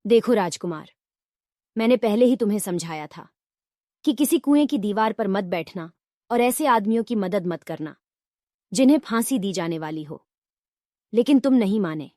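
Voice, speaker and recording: A woman voicing a wise, scolding but helpful fox in a storybook fairy tale, with measured, admonishing delivery. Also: mid-range voice, animated, mellow, no echo, studio quality, natural voice. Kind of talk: Hindi fairy tale character voice.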